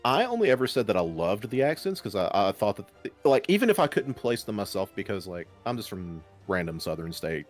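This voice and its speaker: A man's voice: on the low side, fairly quick, faint music behind. A man from Kentucky with a Kentucky accent, chatting casually and animatedly on a panel. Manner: animated, mocks internet opinions in quotes. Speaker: podcast panelist. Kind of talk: casual group chat.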